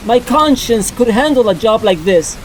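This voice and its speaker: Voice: medium pitch, expressive, normal speed, slight background noise, lightly acted. A performer voicing a blunt, candid young woman who is a reformed cat burglar, in a fan dub of a motion comic. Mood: sincere.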